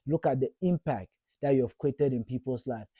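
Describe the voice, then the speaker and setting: A man's voice: medium pitch, moderate pace, dark tone, slightly rough, dry recording. A man in his thirties from Cameroon, giving an earnest, encouraging motivational talk to camera and repeating points for emphasis. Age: 30s. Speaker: vlogger.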